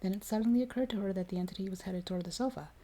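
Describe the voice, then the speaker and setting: A woman reading a horror story aloud in a soft, measured ASMR style, giving the dialogue some dramatic emphasis. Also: medium pitch.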